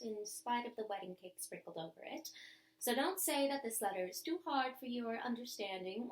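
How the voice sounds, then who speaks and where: A woman giving an expressive, theatrical reading of old love letters, with deliberate pauses. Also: a little high, echoey room. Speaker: reader of bedtime stories.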